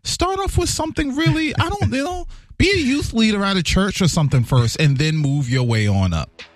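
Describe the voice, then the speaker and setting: A man with a deep voice co-hosting a radio show, chatting and reading a good-news segment. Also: animated, a little fast, no echo. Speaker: radio co-host.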